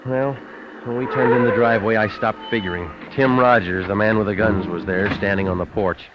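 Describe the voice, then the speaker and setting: An actor playing a wisecracking private detective, deadpan and clipped, narrating his case in a vintage radio noir drama. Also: on the low side, a little fast, loud music behind the voice, close to an everyday voice. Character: wisecracking, hard-boiled male private detective. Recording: dull vintage radio recording.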